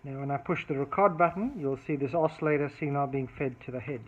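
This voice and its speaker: A man's voice: mid-range voice, quick pace, noisy background. Thin phone-like sound, man explaining electronics. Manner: methodical, thinking aloud. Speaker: electronics repair hobbyist demonstrating a fix. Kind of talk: hands-on technical demonstration, unscripted explaining.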